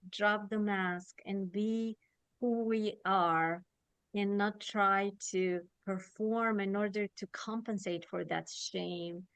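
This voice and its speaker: A Romanian-accented woman in her forties speaks as an executive coach on a video-call interview. She takes her time and often restarts her phrases while she thinks. Her voice is smooth and resonant, with a dry, close sound and no room around it. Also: mid-range voice, steady tone, slow, a bit bright, no room sound. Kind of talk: podcast interview answer.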